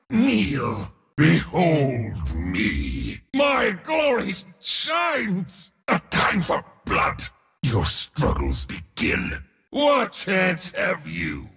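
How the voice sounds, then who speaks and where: An actor playing an arrogant, bombastic god of war in a video game, declaiming and gloating in a booming theatrical voice. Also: male voice, wildly swooping pitch, extremely slow, vocal fry, sharp tone, long reverb tail, heavily acted. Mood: battle fury. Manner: booming, declamatory, gloating, short battle cries.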